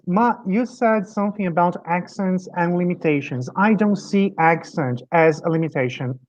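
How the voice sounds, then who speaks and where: A man's voice: high-pitched, normal speed. Brazilian man hosting, slightly dull audio. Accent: Brazilian Portuguese. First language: Portuguese. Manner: encouraging, asks short questions. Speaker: English teacher, host. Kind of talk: hosting an online panel.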